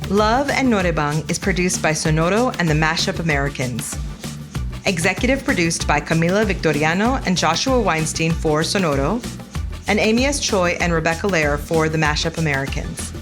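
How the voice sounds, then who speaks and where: A woman's voice: lowish voice, lively intonation, brisk. Woman reading podcast credits over music. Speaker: announcer. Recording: music bed under voice.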